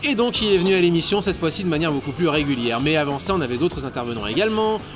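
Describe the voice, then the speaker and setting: A man's voice: a little high, lively intonation, racing. A man in his thirties, a guest and radio show co-host, chatting casually and hesitantly in a bar, with many fillers and stammered repeats. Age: 30s. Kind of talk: casual interview chat. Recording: clear music behind the voice. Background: music.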